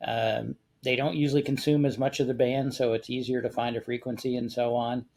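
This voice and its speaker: A man with an American accent talking casually and explaining a hobby step by step, with a measured, conversational manner. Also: medium pitch, little pitch movement, a little mellow. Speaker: amateur radio contest guest expert.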